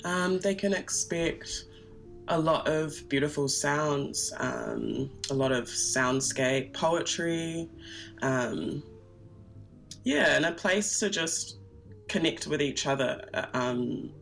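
Low, creaky-voiced woman, hesitant and reflective. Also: takes it slowly, soft background music. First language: Twi. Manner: hesitant, reflective, searching for words. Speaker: interview guest, performance artist. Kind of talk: casual conversational interview answers.

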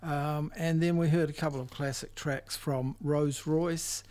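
A man's voice: a little high, steady tone, measured pace, darkish tone. A man hosting a music radio show off the cuff, hesitant and searching for words. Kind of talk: unscripted music show commentary between tracks. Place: radio studio.

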